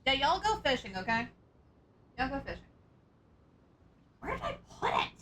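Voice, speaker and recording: A young woman with a sore throat chatting casually and excitably on a live stream, scatterbrained and full of gasps. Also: high-pitched, normal speed, slight background noise. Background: background music.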